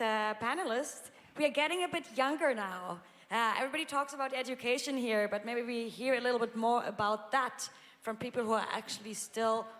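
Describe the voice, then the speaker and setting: A woman's voice: on the high side, a bit bright. Hesitant woman moderating in a hall. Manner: hesitant, many fillers and restarts. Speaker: panel moderator. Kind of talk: moderating a panel discussion. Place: large conference hall. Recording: reverberant conference hall, faint ambience.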